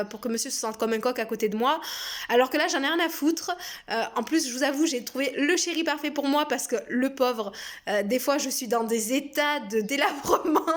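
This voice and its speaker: Woman, 30s, French accent, high voice, frank casual monologue, lots of fillers. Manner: chatty and frank, many hesitations and fillers. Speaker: personal development vlogger.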